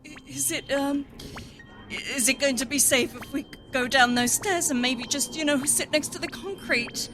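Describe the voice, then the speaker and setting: A young woman, voiced by an actress, frightened and disbelieving in a sci-fi radio drama. Her voice is high and hesitant, with faint music behind it. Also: normal speed, lightly acted.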